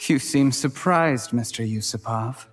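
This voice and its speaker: A man playing a resentful, coldly scheming young scholar in a video game, measured and precise. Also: mid-range voice, over music, lightly acted. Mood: bitter defiance.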